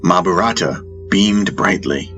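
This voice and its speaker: A man narrating a fantasy audiobook in the first person, in a measured, dramatic storytelling manner. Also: low voice, lo-fi sound, music in the background.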